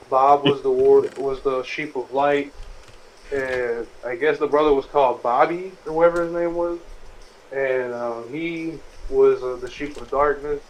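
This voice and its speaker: A man's voice: mid-range voice, low-quality recording. A man in a casual gaming chat, telling joking lore in a rambling storyteller's way. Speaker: let's play gamer.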